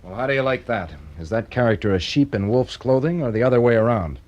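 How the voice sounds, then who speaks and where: A man's voice: mid-range voice, animated, quick pace, darkish tone, dull audio, lightly acted. An actor playing a wry, sceptical young male student in a radio drama, speaking in a sardonic, bantering way. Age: young adult. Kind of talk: BBC radio drama acting.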